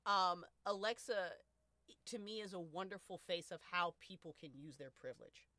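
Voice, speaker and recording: A woman around thirty with an American accent and a slightly low, bright, forceful voice. She talks as a podcast host in casual, emphatic, slangy language, recorded close and dry. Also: normal speed, no room sound. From United States. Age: late 20s to early 30s. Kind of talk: casual panel chat.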